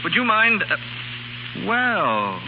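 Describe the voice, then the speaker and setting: Cheeky sardonic man on the run, higher voice, old noisy radio drama. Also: huge pitch swings, takes it slowly, exaggerated voice. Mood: wry, glib. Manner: glib, sardonic banter. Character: brash wry fugitive man wrongly hunted for murder. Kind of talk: 1930s radio drama acting. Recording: noisy, muffled 1930s radio broadcast recording.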